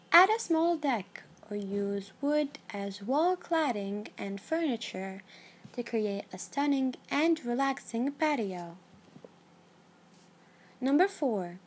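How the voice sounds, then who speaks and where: A woman giving scripted narration of a numbered list in a measured, even read-aloud delivery. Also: fairly high pitch.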